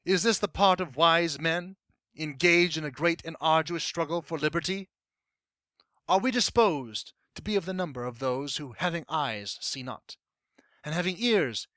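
Guy doing a fiery old-time statesman speech, bright tense voice, formal and emphatic, monologue style. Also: on the high side, no room sound, studio quality. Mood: earnest, resolute. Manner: declamatory, formal, emphatic rhetorical delivery. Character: impassioned colonial-era American statesman orating to an assembly. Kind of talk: dramatic monologue oratory.

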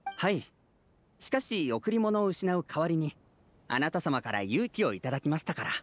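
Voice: medium pitch, sing-song, moderate pace, a little hiss or hum, close to an everyday voice. An actor voicing a humble, anxious citizen of a fairytale town in a Japanese video game, speaking formally and earnestly. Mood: humble, apologetic. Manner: formal, deferential, earnest speech.

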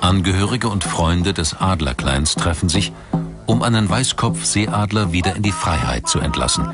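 Very deep-voiced male documentary narrator. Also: lively intonation, normal speed. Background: music behind the voice.

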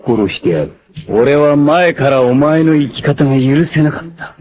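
A man's voice: fairly high pitch, animated, normal speed, a little mellow, muffled recording, natural voice. Man acting haughty, theatrical anime villain warlord. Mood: gloating arrogance. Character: arrogant, obsessive male villain warlord, cruel and lovesick. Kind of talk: dramatic 1980s anime voice acting.

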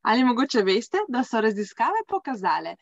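A woman's voice: on the high side, big pitch swings, a little fast, dry recording. Woman interpreter, dull call audio. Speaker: consecutive interpreter. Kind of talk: live consecutive interpreting. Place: small indoor room, video call. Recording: dull lo-fi video-call sound.

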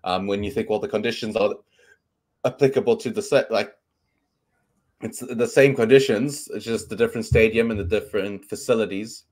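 South African man, Afrikaans native, rambling host, lowish voice, bedroom webcam call. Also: moderate pace, darkish tone. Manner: chatty, rambling, lots of ums. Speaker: podcast host. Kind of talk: casual sports talk show. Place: bedroom at home. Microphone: webcam on video call.

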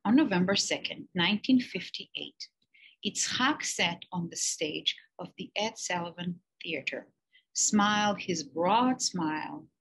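A woman's voice: fairly low pitch, a bit bright, a little hiss or hum, poor audio. A woman teacher reading a children's picture-book biography aloud, with lifted emphasis on key words.

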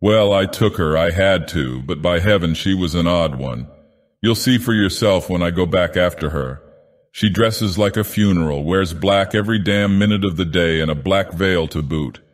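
A man voicing a gruff, drunken, superstitious schooner captain in a storybook narration, grumbling and emphatic with fear underneath. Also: deep voice, moderate pace, in a large hall, close to an everyday voice. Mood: grumbling, uneasy.

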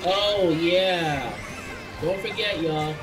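A woman's voice: fairly low pitch, very slow. A woman in her thirties streaming a kart racing game with friends, chatting casually and swearing when she gets hit. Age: 30s. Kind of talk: casual gaming chat with friends. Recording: game music behind.

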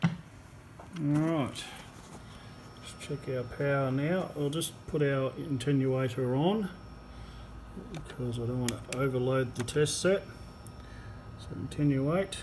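Male technician, fairly high voice, explanatory hands-on commentary, recorded in a noisy workshop. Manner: explanatory, talking while working. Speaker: radio repair technician presenter. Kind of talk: hands-on repair demonstration commentary. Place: indoor electronics repair workbench. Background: workshop noise, clicks, test tones.

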